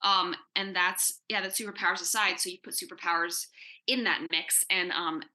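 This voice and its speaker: A woman with a very bright, sharp-edged voice on the lower side speaks as a writer on a panel. She is informal and halting, doubling back on words as she works out her answer. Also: steady tone. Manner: halting, often repeating words as she thinks aloud. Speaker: comic book writer answering questions in a panel. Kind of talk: casual, conversational panel discussion.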